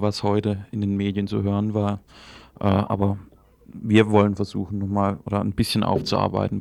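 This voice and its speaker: A man previews a radio report in a low, gravelly voice with extremely flat intonation. He sounds unscripted and tentative, rephrasing as he goes. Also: no echo.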